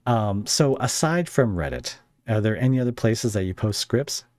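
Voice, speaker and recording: A man's voice: on the low side, animated, moderate pace, a little mellow, dry recording, clean studio sound. A man hosting a podcast, interviewing a guest in a casual, conversational way.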